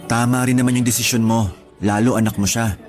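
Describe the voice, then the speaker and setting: Actor as courteous, earnest male date, measured radio drama delivery, average pitch, light music bed. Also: steady tone, darkish tone, close to an everyday voice. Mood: serious. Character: polite, mostly serious man on a first date. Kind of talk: Tagalog radio drama dialogue. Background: background music.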